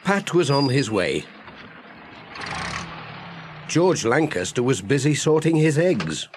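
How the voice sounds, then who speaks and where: A man narrating a children's audiobook in a gentle, clearly phrased storytelling manner. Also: medium pitch, very animated, slow pace, noisy background.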